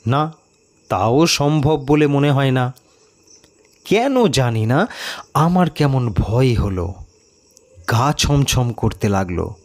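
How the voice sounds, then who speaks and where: A man narrating an eerie mystery story in a dramatic, suspenseful and expressive way. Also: mid-range voice, slow, long pauses. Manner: expressive, suspenseful, with rhetorical questions.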